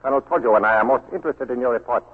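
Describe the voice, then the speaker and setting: An actor playing a stern, silkily menacing Japanese official in a 1940s radio drama, formal and imperious. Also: male voice, medium pitch, rapid-fire, breathy edge, lightly acted. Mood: coldly formal. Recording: tinny, band-limited 1940s radio recording.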